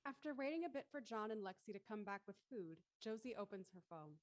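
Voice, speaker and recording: A woman narrates a scripted story in a mid-pitched voice. The sound is dry and close. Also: expressive, quick pace, no room sound.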